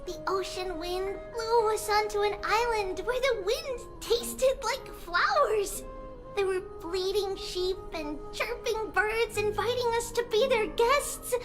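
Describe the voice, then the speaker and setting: Very high-pitched, innocent little girl. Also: over music. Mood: excited, dreamy. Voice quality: small childlike voice. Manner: earnest, halting storytelling. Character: innocent, eager little girl, a young child. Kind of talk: video game voice acting.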